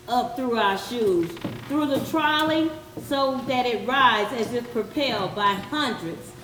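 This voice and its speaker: Woman reciting poetry, highish voice, very deliberate, echoey w faint noise. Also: a bit bright, distant mic. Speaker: readers theatre performer.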